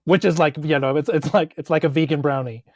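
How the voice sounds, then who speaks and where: A woman's voice: low voice, quick pace, a little mellow, dry recording, studio quality. A woman with a New Zealand accent chats casually as a player in a tabletop role-playing game. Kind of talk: casual improvised game chat.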